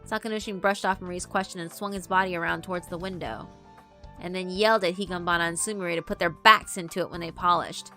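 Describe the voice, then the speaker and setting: A woman's voice: on the low side, steady tone, fast, pushed and bright, faint music behind. A woman reading dramatic scripted narration for a visual novel.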